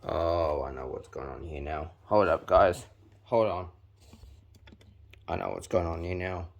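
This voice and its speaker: A 19-year-old man, chatting casually through a hands-on how-to while deliberately pushing his voice extra deep. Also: steady tone, creaky, muffled recording. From New Zealand. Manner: chatty, filler-heavy, talks while working with his hands. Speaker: vlogger.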